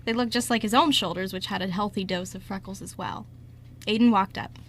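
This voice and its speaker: A woman's voice: medium pitch, a little fast, a little hiss or hum. A woman narrating a scripted story as host of an amateur radio show.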